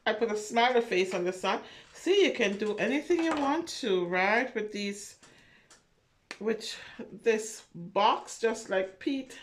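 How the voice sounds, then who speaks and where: A woman reading a picture story aloud and chatting to preschoolers in an animated, encouraging, sing-song way, speaking directly to them. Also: mid-range voice, slow pace, a little hiss or hum. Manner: animated, encouraging, talking directly to small children. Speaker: children's story reader and craft host. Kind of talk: read-aloud and chat for preschoolers.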